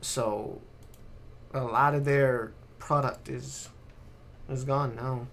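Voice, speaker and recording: A man's voice: mid-range voice, steady tone, takes it slowly, gravelly, fairly bright tone. A man co-hosting a casual gaming podcast, explaining things in an informal, sweary way. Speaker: podcast co-host.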